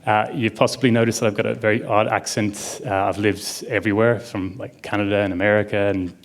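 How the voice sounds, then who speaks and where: Conference speaker, male, low-ish voice, casual and enthusiastic, filler-heavy. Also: level tone, fairly quick. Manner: chatty and enthusiastic, lots of "like". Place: conference stage before an audience.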